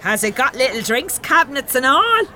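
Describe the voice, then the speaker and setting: A woman's voice: sits high, big pitch swings, moderate pace. An actress in an audio drama playing a flirty, gushing working-class woman with a Dublin accent, over faint noise. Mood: excited, impressed. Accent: Dublin Irish. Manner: forward, gushing.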